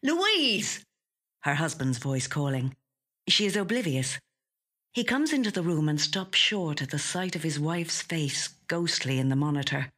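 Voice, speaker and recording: Female narrator for literary fiction: low register, husky edge, measured but expressive dramatic delivery. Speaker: professional actor reading short stories. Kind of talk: literary short story narration. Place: recording studio.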